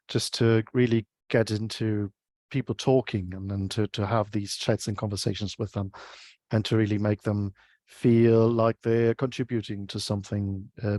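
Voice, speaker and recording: German guy in an interview, lowish voice, lots of restarts, dry room. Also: darkish tone, no room sound. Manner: hesitant, restarts often, searches for words. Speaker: guest, UX researcher. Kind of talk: casual interview chat.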